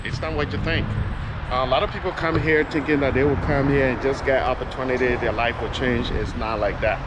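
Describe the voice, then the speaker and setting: A man's voice: medium pitch, steady tone, fairly quick. A man from Liberia with a Liberian accent, talking casually and loosely while walking outdoors for his vlog. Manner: loose and rambling, restarts often. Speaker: vlogger. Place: outdoors on city streets. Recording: street and traffic noise. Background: traffic and city street sounds.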